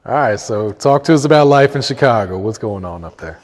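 A man hosts a casual interview, asking questions in a conversational tone at a middling pitch. The room adds a long reverb to his voice. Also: expressive, fairly quick, a little mellow.

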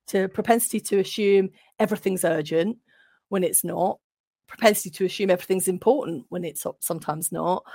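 Gravelly-voiced woman guest, dry sound. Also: medium pitch, darkish tone, dry recording. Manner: thoughtful, repeats and restarts while building points. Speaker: guest, occupational psychologist. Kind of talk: reflective interview talk.

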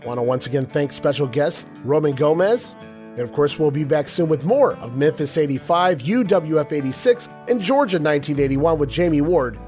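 Hoarse man hosting a talk show. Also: fairly high pitch, expressive, moderate pace, darkish tone, soft background music. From northeastern Ohio. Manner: recapping, wraps up the show. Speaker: podcast host. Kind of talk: casual chat.

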